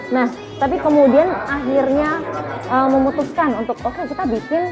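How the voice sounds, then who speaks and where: A woman with a fairly high voice hosts a casual interview and keeps things chatty and upbeat. She is recorded in a busy restaurant with music playing clearly behind her. The chatter of diners fills the room around her. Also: normal speed. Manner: chatty, upbeat, laughs often. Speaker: talk show host. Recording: loud music and crowd chatter.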